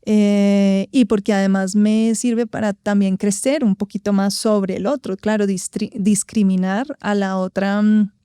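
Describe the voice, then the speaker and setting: Colombian woman, bogota accent, thinks out loud, lots of "¿no?", warm smooth voice. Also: mid-range voice, fairly even intonation, unhurried, few pauses, no room sound, studio quality. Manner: thoughtful, searching for words, frequent tag questions. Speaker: guest, actress and vocal coach. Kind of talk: reflective interview talk.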